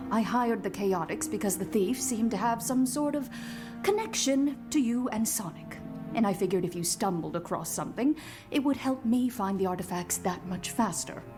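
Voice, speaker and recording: An actress playing a composed female professor and agent in an audio drama, measured and earnest in manner. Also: mid-range voice, expressive, natural voice. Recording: music behind the voice.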